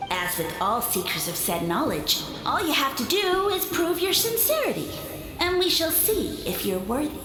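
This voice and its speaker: A woman's voice: sits high, very animated, normal speed, echoey room, music in the background, rough recording. A woman voicing a pompous, self-proclaimed sage lord of fungi who talks down to everyone with grand, condescending speech. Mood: haughty.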